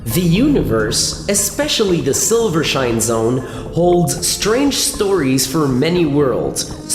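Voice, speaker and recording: A woman reading scripted sci-fi radio play narration in a deliberate, mock-serious storytelling manner. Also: low voice. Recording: echoey long reverb with music.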